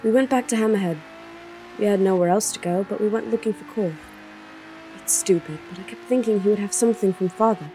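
A woman's voice: mid-range voice, a little fast, mellow, slight background noise, close to an everyday voice, low-quality recording. A woman playing a grieving young princess, devoted to her father, in an audio drama. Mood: reflective, sad. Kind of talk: audio drama acting and narration.

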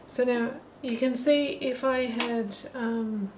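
A middle-aged woman narrating a watercolour lesson while she paints, thinking aloud in a relaxed way. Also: on the high side, slow, slight background noise, low-quality recording. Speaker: watercolour teacher. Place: holiday apartment, at a table indoors. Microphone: camera mic above the table.

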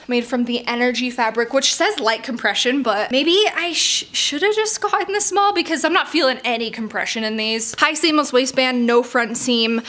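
A young woman reviews workout clothes in a casual, chatty way, her slightly high voice bouncing with "like" fillers and quick laughs. She talks straight to the camera from a few feet away, filming in her clothes-lined closet. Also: a bit bright. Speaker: activewear reviewer. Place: bedroom closet with stacked clothes shelves.

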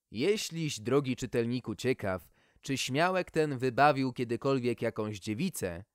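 Male storyteller for a wry fairy tale, very varied intonation, measured pace. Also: mid-range voice, clear, resonant tone, no room sound, clean studio sound.

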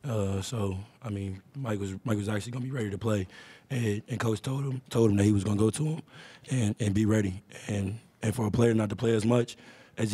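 A young man, a college basketball player answering at a postgame press conference into a table mic, halting and repeating his words. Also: lowish voice, warm tone, breathy edge, no echo. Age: young adult. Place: press room in front of a sponsor backdrop.

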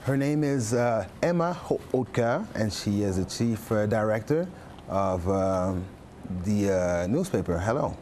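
A middle-aged man hosts a TV interview show. He chats casually in a studio on a lapel mic, at an ordinary pitch for a man. A little background noise sits behind his voice. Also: expressive, unhurried, darkish tone. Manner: speaks English with occasional French words. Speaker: TV show host. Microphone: lapel microphone.

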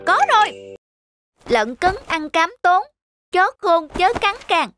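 Cheeky clever village boy, woman voicing, very high, polite but sly. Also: expressive, slow pace, fairly bright tone, full character voice. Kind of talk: cartoon voice acting. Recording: music playing under voice.

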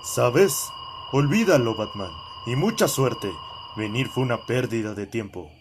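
A man voices a stern cartoon superhero, proud and quick to take offence. His scolding moves widely up and down in pitch. There is clear noisy ambience behind him. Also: animated. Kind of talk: fan-made comedy animation dubbing.

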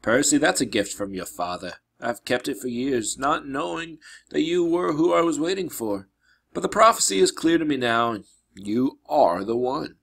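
Man voicing a grave, kindly older mentor, gravelly. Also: mid-range voice, animated, normal speed, fairly bright tone. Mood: grave, instructive.

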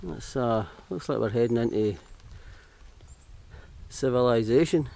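A Scottish man talks to his camera while walking hill paths outdoors. He rambles in a casual, chatty way and corrects himself mid-sentence. His pitch sits at an ordinary middle level. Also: a little slow, vocal fry, dark tone. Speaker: hiking vlogger.

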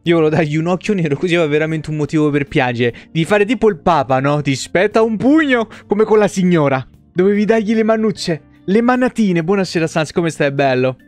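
A man's voice: a little high, lively intonation, fairly quick, few pauses, soft background music. A young adult man from Italy chatting casually as a game streamer, rambling and swearing freely, on a close desk microphone in a home room. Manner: informal, rambling, profane; repeats words for emphasis. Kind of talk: casual livestream chat.

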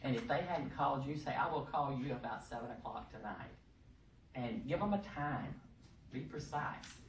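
A middle-aged woman at a podium, talking loud and emphatic in a homespun way. The sound carries across an echoey room from a mic some distance away. A faint hiss sits under it. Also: extremely low pitch, expressive, moderate pace. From Texas. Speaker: presenter at a workshop. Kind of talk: informal training talk. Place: conference room. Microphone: podium microphone, heard from across the room.

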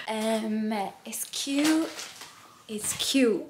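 A woman's voice: fairly high pitch, very slow. A young woman filming a casual travel vlog to camera, playful and chatty as she walks around a city. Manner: playful, chatty, talks to camera while walking. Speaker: vlogger. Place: outdoors in a city and parks. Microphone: phone camera at arm's length. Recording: loud outdoor noise.

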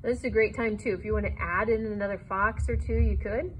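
A woman's voice: medium pitch, fairly even intonation, fast. A woman with a mid-range voice teaches drawing one step at a time. She is encouraging and easygoing in how she instructs. There is faint room noise behind her voice. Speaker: art teacher.

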